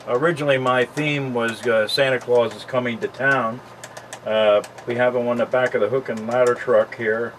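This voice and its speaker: A man from Lebanon County, Pennsylvania, a model train collector, reminiscing casually in his basement in a relaxed, rambling way with lots of fillers. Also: mid-range voice, normal speed. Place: home basement with train layout. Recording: faint background noise.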